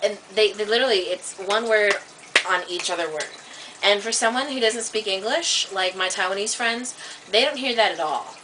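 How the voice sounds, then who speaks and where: A woman vlogging in a casual, rambling monologue to camera, full of "like" and "um", getting animated and high when worked up. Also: normal speed. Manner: chatty, filler-heavy, many "like" and "um" restarts. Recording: distant webcam mic with faint hiss.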